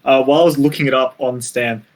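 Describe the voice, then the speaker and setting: Normal pitched guy on a podcast, casually explaining stuff to his mates. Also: fairly even intonation, fast. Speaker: podcast co-host. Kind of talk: casual film recommendations and chat.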